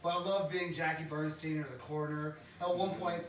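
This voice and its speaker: Male voice actor in casual chat, high lively pitch, hesitant starts, roomy off-mic sound. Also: brisk, distant mic. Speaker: podcast voice actor. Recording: echoey room, away from mic.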